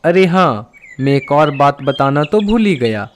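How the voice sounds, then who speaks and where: A man's voice: on the high side, very animated, a little slow, a little hiss or hum. A man voicing a crow school principal in a cartoon, formal and instructive as he addresses pupils. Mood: instructive, firm. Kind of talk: Hindi cartoon voice acting.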